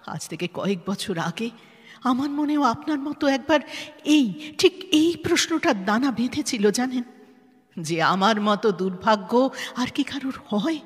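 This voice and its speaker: Woman acting earnest, high-voiced resilient woman, reverberant. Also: expressive, unhurried, husky. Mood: impassioned. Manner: dramatic, emphatic, repeats words for weight. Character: earnest, resilient woman NGO head who survived tragedy. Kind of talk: Bengali audio drama acting. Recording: long hall reverb, music underneath.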